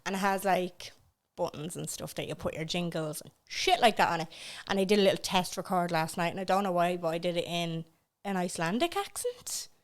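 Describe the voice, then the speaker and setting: A woman's voice: lowish voice, lively intonation, fairly quick. A young Irish woman co-hosting a podcast, chatting casually and joking around on a studio mic. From Ireland. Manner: chatty, jokey, laughs often. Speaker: podcast co-host. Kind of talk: casual chat.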